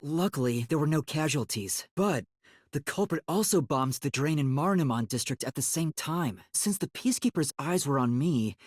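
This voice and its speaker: A man's voice: fairly high pitch, dry recording, studio quality. An actor playing a timid, earnest young male detective in a video game, with a light, youthful voice and a hesitant, boyish manner. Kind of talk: English video game voice acting.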